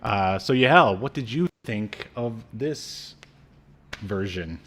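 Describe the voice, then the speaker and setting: A man in his thirties co-hosting a casual review chat, recounting things haltingly, bit by bit. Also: on the low side, expressive, a bit bright. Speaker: podcast co-host. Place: small indoor room, video call.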